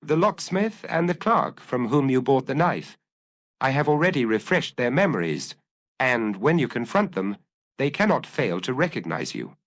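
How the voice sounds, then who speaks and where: A man's voice: medium pitch, very animated, moderate pace, a bit bright, pitched above the performer's own voice. A man voicing a dry, hard ex-inspector turned blackmailer in an audiobook detective story, speaking with firmness and precision. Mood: firm, pressing. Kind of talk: audiobook character acting.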